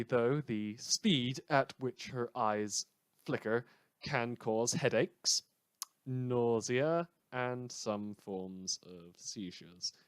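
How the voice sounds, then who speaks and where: A man's voice: medium pitch, clean tone, no echo, natural voice. A man playing a sardonic, rambling radio news host, delivering satirical scripted news with wry, theatrical asides. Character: sardonic, rambling madman community radio newscaster in fiction.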